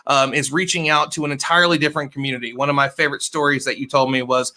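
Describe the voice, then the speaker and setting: A man's voice: medium pitch, level tone, very fast, pushed and bright. A man moderating an online panel, chatty and enthusiastic, joking with the panelists.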